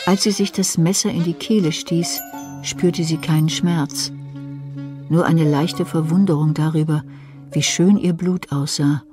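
A woman narrating a dark crime radio play in a composed, scripted style. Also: low voice, steady tone, a little slow, music in the background.

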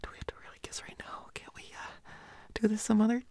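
A woman playing a nervous, pouty teenage schoolboy in a whispered audio roleplay, breathy, hesitant and pleading. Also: fairly high pitch, monotone, fast talker, dark tone, dry recording.

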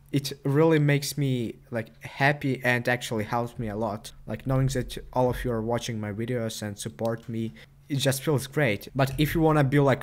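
A teenage boy from Ukraine with a Ukrainian accent, talking earnestly and haltingly in a casual vlog over gameplay. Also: medium pitch. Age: teens. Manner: earnest, halting, many restarts. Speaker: gaming channel host.

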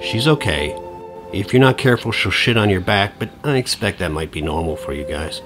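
An actor playing a middle-aged divorcing suburban dad in a podcast drama, profane, sardonic and rambling. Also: male voice, deep voice, lively intonation, fairly quick, over music. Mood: wistful, rueful. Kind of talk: podcast audio drama acting.

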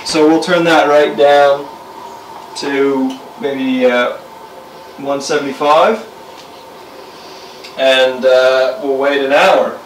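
A young man ambles through a home cooking demo, full of "uh"s and easy asides. His voice is picked up from a camera across the kitchen, so it sounds roomy and a little distant. Soft clatter of pans sits underneath. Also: mid-range voice, extremely slow, pauses often, a little hiss or hum. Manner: relaxed, rambling, lots of fillers. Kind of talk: casual cooking demonstration. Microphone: camera mic from across the room.

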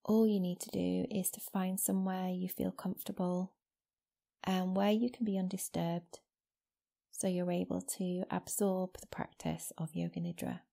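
A woman guiding a meditation as a yoga nidra teacher, speaking slowly and gently in a soft, soothing voice with long pauses. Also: fairly low pitch, little pitch movement, gravelly. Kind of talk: guided meditation. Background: new-age music.

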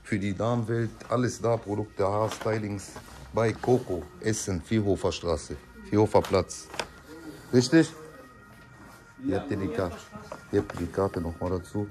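Low-ish voiced guy vlogging from a hair shop and the street, jokey, talks right to viewers, music behind. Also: unhurried, darkish tone. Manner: chatty, joking, addresses viewers directly. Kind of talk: casual vlog narration to camera. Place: hair salon and city street. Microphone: handheld camera at arm's length.

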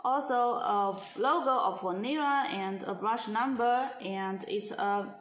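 Woman doing a slow product walkthrough, fairly high voice, echoey room, faint hiss. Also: fairly bright tone. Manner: slow, halting, simple phrasing with many restarts. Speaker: brush company representative. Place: indoor showroom at a marble table.

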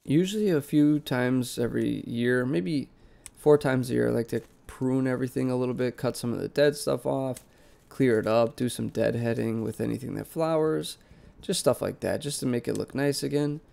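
A man's voice: medium pitch, normal speed, gravelly. A young man with a congested, nasal cold voice, chatting casually as a vlog host about doing chores in his basement plant room. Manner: relaxed, rambling, conversational. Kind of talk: casual voice-over vlog narration.

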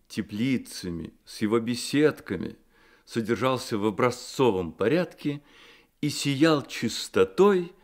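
A man narrating a literary Soviet radio drama in a measured, expressive storytelling manner. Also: fairly low pitch.

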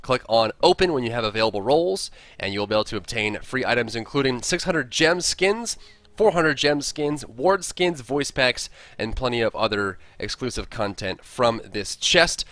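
A man's voice: medium pitch, lively intonation, moderate pace, fairly bright tone, no echo. A man presenting a scripted video tutorial, explaining things step by step. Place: close, dry voice-over recording.

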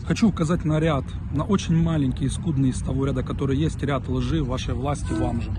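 Young man, phone selfie outdoors, urgent questioning, traffic noise behind. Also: mid-range voice. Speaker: reserve officer speaking to camera. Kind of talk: angry direct-to-camera appeal. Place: outdoors under bare trees. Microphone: phone held close to face. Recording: clear street noise with passing traffic. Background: city street ambience and vehicles.

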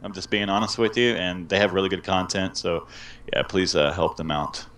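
A man with a fairly low voice talks loosely and candidly as a guest on a casual online interview. He trails off now and then and swears without fuss. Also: steady tone, moderate pace, noisy background.

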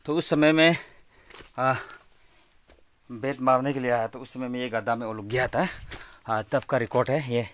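A man with a Northeast Indian accent narrating casually on location outdoors at a cave, in halting, repetitive, simple phrases. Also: medium pitch, steady tone, a bit bright, a little hiss or hum. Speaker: vlogger exploring a cave. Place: outdoors at a forested cave mouth. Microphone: handheld phone.

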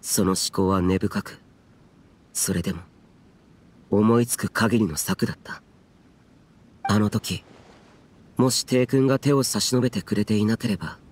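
An actor playing a stern, reserved guardian warrior in a game cutscene, speaking formally in a measured, restrained and archaic way. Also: male voice, on the low side, husky. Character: stern, stoic yaksha guardian, reserved and grave. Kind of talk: dramatic game cutscene dialogue.

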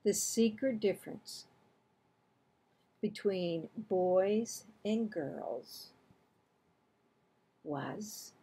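A woman's voice: medium pitch, animated, very slow, long pauses, dark tone, breathy edge. A woman in her sixties reading a children's book aloud, expressively acting out the dialogue and action. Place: small home room, indoors.

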